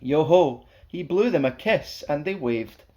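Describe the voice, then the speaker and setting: A man's voice: a little high, animated, unhurried, darkish tone. A man reading a rhyming children's picture book aloud as a storyteller, in a playful, theatrical, sing-song way.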